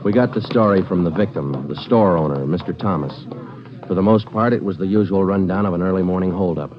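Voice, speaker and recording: An actor playing a terse, world-weary police sergeant who narrates a 1940s radio crime drama in a clipped deadpan. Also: male voice, sits low, fairly quick, natural voice. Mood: dry, matter-of-fact. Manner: clipped, deadpan, matter-of-fact. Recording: muffled vintage 1940s radio, loud music.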